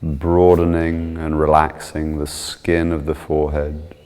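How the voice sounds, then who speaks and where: A man calmly guiding a meditation, soothing and measured, with long pauses between short instructions. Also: a bass voice, clear, resonant tone, big-room sound. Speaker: yoga and meditation teacher.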